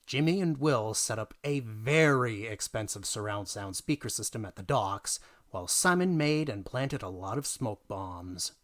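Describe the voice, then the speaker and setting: A man narrating a dramatic reading of a tabletop gaming story, theatrical and emphatic, pausing for effect. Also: fairly low pitch, expressive, a bit bright.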